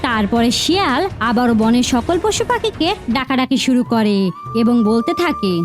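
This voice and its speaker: A woman narrating a scripted fairy tale as an expressive storyteller. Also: on the high side, a little slow, music in the background.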